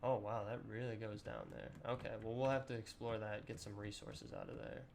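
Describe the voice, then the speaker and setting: A young man with a low, gravelly voice that sounds a bit sore today. He rambles casually through his game commentary, full of ums and the odd throat clear, while keyboard clicks and a noisy hiss sit behind him. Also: fairly even intonation, a little fast, a little mellow. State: slightly raspy, sore voice. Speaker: gaming channel host. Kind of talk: casual let's-play commentary.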